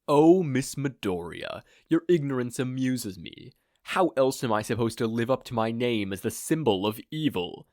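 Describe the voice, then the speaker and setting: Audio story villain, male reader acting: immortal evil mastermind, pompous and gloating, very animated delivery. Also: medium pitch, normal speed, few pauses, no room sound, studio quality, close to an everyday voice. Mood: condescending. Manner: pompous, theatrical monologuing. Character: grandiose, sinister immortal male supervillain, self-absorbed.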